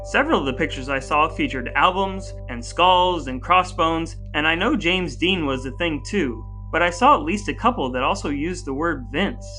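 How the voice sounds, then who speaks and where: A man's voice: a little high, expressive, normal speed, few pauses, sharp tone, soft background music. An American man co-hosting a podcast, moving between scripted intros and casual chat in a playful, wordy, announcer-like way. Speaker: podcast co-host.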